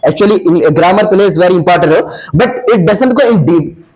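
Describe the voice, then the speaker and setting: A young man from India speaks English with an Indian accent, his voice sitting high. He gives earnest advice to fellow students in a classroom, filling pauses with "uh" and often starting his sentences over. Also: expressive, a little mellow, low-quality recording. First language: Hindi. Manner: earnest advice with many fillers and restarts. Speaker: senior student. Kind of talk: answering audience questions.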